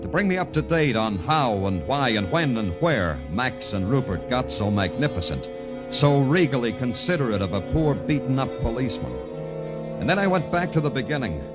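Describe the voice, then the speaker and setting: An actor playing a weary American police detective, deadpan and wry, in hard-boiled old radio noir style. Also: male voice, mid-range voice, normal speed, loud music behind the voice, lightly acted. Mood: wry narration. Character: weary, sardonic male New York police detective, hard-boiled narrator. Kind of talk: 1950s radio noir acting and narration.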